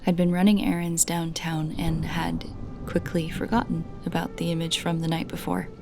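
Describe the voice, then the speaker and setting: A woman narrating a scripted first-person creepy story in a measured way, with dramatic pauses between short phrases. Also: on the low side, little pitch movement, music in the background.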